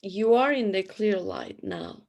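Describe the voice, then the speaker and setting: A woman playing a knowing, cryptic afterlife companion with deliberate storytelling delivery in a sci-fi radio drama. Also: mid-range voice, wildly swooping pitch, darkish tone, exaggerated voice. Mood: ominous.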